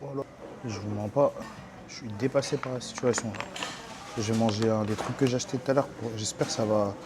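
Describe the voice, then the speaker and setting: A young man from France vlogging his walk through busy city streets, talking to his viewers in excitable, slangy speech. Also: mid-range voice, a little fast, noisy background. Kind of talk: casual travel vlog talk. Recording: music mixed under the voice.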